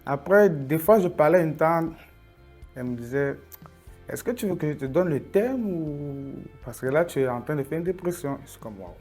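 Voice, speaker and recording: Earnest young man, soft music. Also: on the high side, lively intonation, moderate pace. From Cameroon. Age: 20s. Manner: earnest, reflective storytelling, often dropping to a quiet, inward tone. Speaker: testimony speaker. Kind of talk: personal faith testimony.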